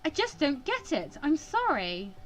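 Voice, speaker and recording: Woman doing earnest emotional student girl from a visual novel, high voice, stammery n impassioned, faint noise. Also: wildly swooping pitch, a little slow, heavily acted. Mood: tearful, self-blaming. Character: earnest, emotional young female student mediator.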